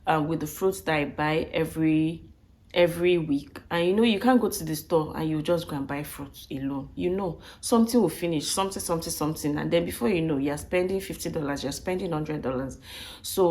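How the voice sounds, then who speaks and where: A woman with a croaky voice, recovering from flu, chatting casually to viewers and often repeating and rephrasing as she goes. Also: deep voice. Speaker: vlogger. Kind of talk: casual budget breakdown talk to viewers.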